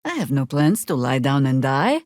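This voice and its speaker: A woman playing a wry, battle-worn middle-aged druid in a video game, with sardonic, world-weary, deliberate phrasing. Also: extremely low pitch, sing-song, darkish tone, no room sound, clean studio sound. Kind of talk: video game character acting.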